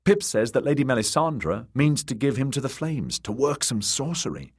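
A man's voice: medium pitch, sing-song, moderate pace, slightly rough, pitched above the performer's own voice. A man voicing a timid, earnest young male scholar in an audiobook, hesitant and deferential in manner. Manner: hesitant, nervous, stressing his words.

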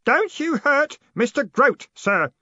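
A man's voice: very high-pitched, very animated, takes it slowly, pushed and bright, no room sound, dull audio, pitched above the performer's own voice, heavily acted. A man voicing an earnest, obsessive boy apprentice in an audiobook, polite and thoughtful. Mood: menacing.

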